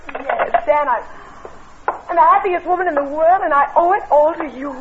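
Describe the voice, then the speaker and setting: A woman's voice: sits high, normal speed, close to an everyday voice. An actress playing a giddy, trusting, newly engaged woman in an old radio noir drama. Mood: giddy, elated. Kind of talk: 1940s radio noir acting. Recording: muffled, noisy vintage radio recording.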